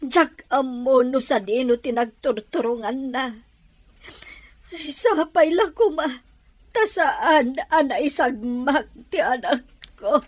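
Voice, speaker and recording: A woman playing a worried, grieving mother in an Ilocano audio drama, speaking in a plaintive, drawn-out way. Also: high voice, lively intonation, no room sound, full character voice.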